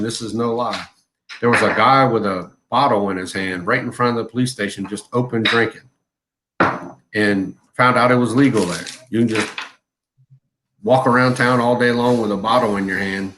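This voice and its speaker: Laid-back low-voiced man in his forties. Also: background noise. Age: 40s. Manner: laid-back, laughs often, drawn-out storytelling. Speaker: co-host of a live chat stream. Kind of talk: casual live-stream chat.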